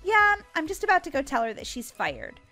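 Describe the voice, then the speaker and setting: A woman's voice: sits high, huge pitch swings, fairly quick, pushed and bright, soft background music, heavily acted. A woman voicing a polite, sweet, earnest young female shop boss in a video game roleplay. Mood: earnest, confident.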